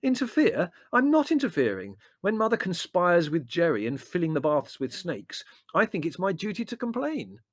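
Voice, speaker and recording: A man's voice: sits high, wildly swooping pitch, normal speed, hardly any pauses, no echo, studio quality, pitched above the performer's own voice, full character voice. A man voicing a pompous, accusing grown-up son in a storybook, speaking with indignant emphasis.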